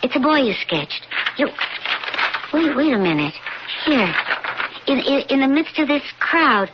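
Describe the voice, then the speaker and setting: An actress playing a devoted, encouraging lawyer wife with earnest, dramatic emphasis in a 1980s radio drama. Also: female voice, high voice, big pitch swings, a little slow. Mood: fascinated, uneasy. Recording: dull, hissy old radio broadcast recording.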